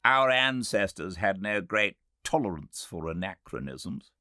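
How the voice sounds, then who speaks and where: A man voicing a wry, sceptical gentleman doctor in a storybook reading, with a dry, teasing manner. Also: low-pitched, expressive, unhurried, no room sound, voice pushed up, heavily acted. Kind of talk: audiobook character reading.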